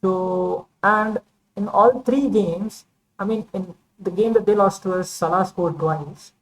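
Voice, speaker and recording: A woman's voice: medium pitch, a little slow, slight background noise, poor audio. A woman talking football in a casual vlog monologue, rambling and hedging with many fillers and self-corrections. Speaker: football vlogger.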